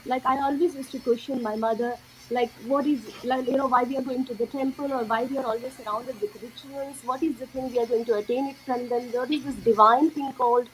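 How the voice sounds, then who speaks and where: Young woman from india, high voice, slow earnest storytelling w/ lots of ums, bit of background hiss. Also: steady tone, poor audio. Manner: slow, earnest, many fillers. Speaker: guest sharing a spiritual story. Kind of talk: personal testimony in an interview.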